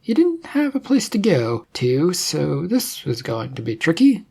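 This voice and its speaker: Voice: medium pitch, huge pitch swings, measured pace, gravelly, mellow, breathy edge. A narrator reading scripted fiction aloud as a storyteller.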